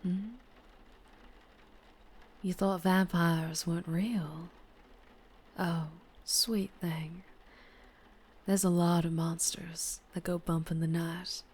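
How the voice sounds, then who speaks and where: A woman playing a flirtatious, protective vampire biker in an ASMR roleplay, with a Southern US accent and a soft, intimate delivery that slips into whispers. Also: lowish voice, fairly even intonation, slow pace, pauses often. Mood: soothing, flirty. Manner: soft, intimate, often dropping into breathy whispers.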